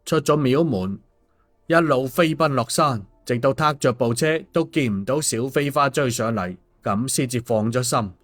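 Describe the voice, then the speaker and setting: A man's voice: mid-range voice, normal speed. A man narrating an audiobook in a colloquial storytelling style. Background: background music.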